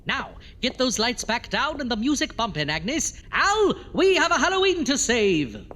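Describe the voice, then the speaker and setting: Swooping macabre horror-show host. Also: male voice, extremely high pitch, huge pitch swings, normal speed, noisy background, exaggerated voice. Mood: sly showmanship. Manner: theatrical, exasperated, alliterative. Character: gleefully macabre theatrical ghoul doctor, horror show host. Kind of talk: campy horror host introductions. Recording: reverberant hall with music behind.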